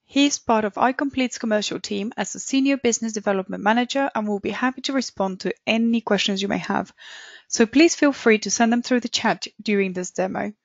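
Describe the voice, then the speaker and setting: A woman's voice: mid-range voice, fairly quick, no room sound. Woman host reading a formal intro. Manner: formal, read from a script. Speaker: webinar host. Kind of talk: scripted introduction. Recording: dry dull lo-fi audio.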